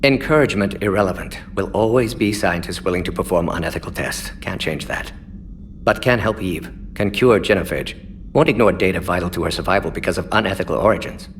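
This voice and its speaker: An actor playing an aging alien scientist in a video game, clinical and unapologetic, speaking in clipped, telegraphic phrases. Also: male voice, low voice, brisk, a little hiss or hum, close to an everyday voice. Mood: firm, clinical. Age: older. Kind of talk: video game character dialogue.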